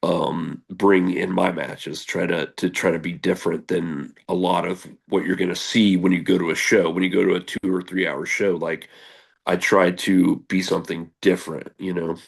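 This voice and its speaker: A man's voice: sits low, flat delivery, moderate pace, gravelly. A man in his thirties, a wrestler talking as a guest in a casual interview, rambling with lots of fillers and restarts, candid and earnest.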